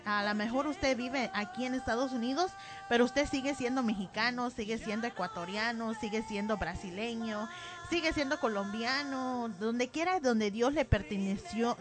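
High-voiced woman co-hosting church radio. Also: a bit bright. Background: music.

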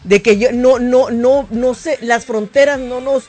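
A woman's voice: on the high side, fairly even intonation, unhurried, darkish tone. A woman pastor on a church program, speaking fervently and declaratively with frequent restarts.

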